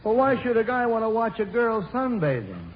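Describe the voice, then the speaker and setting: An agreeable, patient sidekick in old radio comedy. His voice is high and lively as he feeds questions. The recording is dull and aged. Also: fairly quick, slight background noise. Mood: puzzled. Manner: attentive, questioning. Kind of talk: 1940s radio comedy banter.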